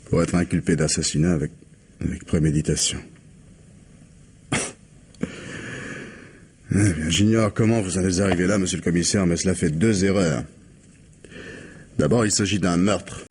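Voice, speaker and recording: An actor playing a stubborn, bitter murder suspect in a radio crime drama, guarded and terse under interrogation. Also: male voice, deep voice, normal speed, pauses often, a little mellow, slightly rough. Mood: grim resolve.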